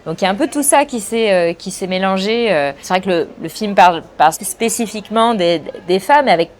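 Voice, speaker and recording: A woman in her forties speaks at a middle pitch, thinking aloud as she answers. She pauses often, restarts her phrases and fills gaps while she searches for words. A faint hum of ambience sits behind her. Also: expressive. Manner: thoughtful, hesitant, frequent restarts and fillers. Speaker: film director. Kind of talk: interview answers.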